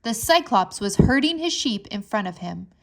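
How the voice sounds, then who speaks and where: A woman with a fairly high voice reads aloud for young listeners as a storybook narrator. Her delivery is scripted and expressive, with clear enunciation and dramatic emphasis on key words. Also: normal speed. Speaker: narrator reading a children's history book aloud.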